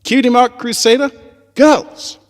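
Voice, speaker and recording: Man voicing an eager high-pitched Southern filly. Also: steady tone, a little slow, long reverb tail. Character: spirited young country filly schemer, female pony child.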